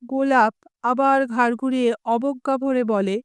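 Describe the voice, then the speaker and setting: A woman's voice: sits high, steady tone, normal speed, smooth, dry recording. A woman telling a humorous short story aloud, giving the quoted lines a little expression.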